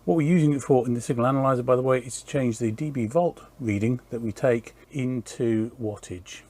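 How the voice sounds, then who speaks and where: A man's voice: mid-range voice, fairly quick, dark tone. A man in his workshop, casually explaining an electronics build in a technical, matter-of-fact way, thinking aloud with fillers. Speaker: electronics maker and channel host.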